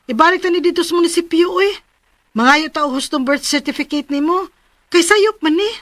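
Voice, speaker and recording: An actress playing a diligent, excitable young bride with a Cebuano accent in a radio drama. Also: female voice, extremely high pitch, brisk. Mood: alarmed. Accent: Filipino, Cebuano. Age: young adult.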